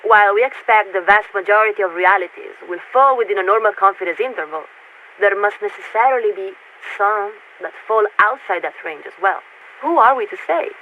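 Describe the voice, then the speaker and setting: A woman playing a polite, formal military radio signaler in a video game, speaking in a measured, patient, officious way through a radio filter. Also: mid-range voice, lively intonation. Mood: patient explaining. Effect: radio transmission filter. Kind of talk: scripted game voice acting. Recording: tinny narrow-band radio with static.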